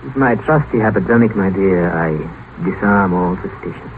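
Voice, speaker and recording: An actor playing a smooth, scheming doctor and seducer, sardonic and teasing, in a 1950s radio play. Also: male voice, low-pitched, moderate pace, lightly acted. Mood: suave teasing. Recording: tinny, noisy old radio broadcast.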